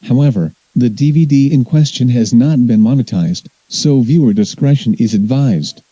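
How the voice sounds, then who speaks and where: A man playing a grave US President who delivers a solemn, measured, formal emergency address in an audio drama. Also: medium pitch, mellow, slightly rough, no room sound, dull audio, lightly acted.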